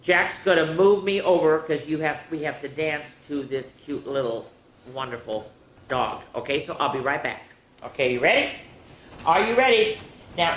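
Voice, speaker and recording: A senior woman hosting a children's story time, chatty and instructive, often putting questions to the kids. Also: low voice. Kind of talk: children's storytelling and activity talk. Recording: echoey room with faint noise.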